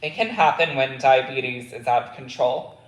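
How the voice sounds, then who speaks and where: A man reading the lines of a gentle, weakened woman recovering in hospital, in his own voice. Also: medium pitch, expressive, normal speed, echoey room, close to an everyday voice, low-quality recording. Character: weak sick aunt, woman, gentle.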